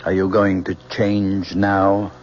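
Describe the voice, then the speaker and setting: An actor playing a middle-aged, principled father, measured, probing and quietly stern, in old-time radio drama style. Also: sits low, level tone. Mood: grave disappointment. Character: grave, principled, loving father of grown daughter. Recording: dull old radio recording, faint noise.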